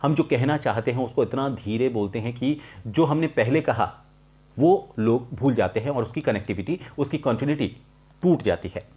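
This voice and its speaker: Middle-aged man teaching, medium-pitched voice. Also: animated, moderate pace, dark tone. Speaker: voice-over artist and voice coach hosting a tutorial. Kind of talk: explanatory video lesson addressed to viewers.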